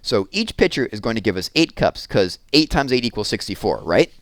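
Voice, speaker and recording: A man's voice: fairly low pitch, sing-song, a little fast, dry recording. A man voicing an eager, anxious eight-year-old girl in a children's storybook, with an earnest, chatty manner. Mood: confident, explaining. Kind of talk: children's storybook read-aloud.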